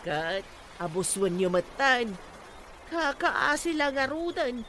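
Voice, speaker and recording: A woman's voice: on the high side, very animated, takes it slowly, a bit bright, slight background noise, full character voice. A woman playing a reproachful farm mother in an Ilocano radio drama. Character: reproachful farm mother defending her hardworking son.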